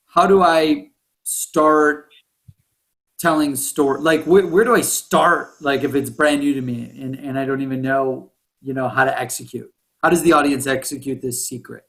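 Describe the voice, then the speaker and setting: A man's voice: mid-range voice, fairly even intonation, normal speed, a bit bright, rough recording. A man hosting a podcast interview, enthusiastic and informal, with slangy affirmations. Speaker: podcast host.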